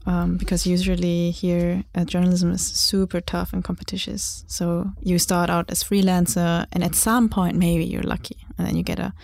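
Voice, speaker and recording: A woman's voice: fairly low pitch, little pitch movement, moderate pace, gravelly, few pauses, a little mellow, no echo. A young woman from Germany whose first language is German, a journalist and writer, talking reflectively in a casual interview and laughing often.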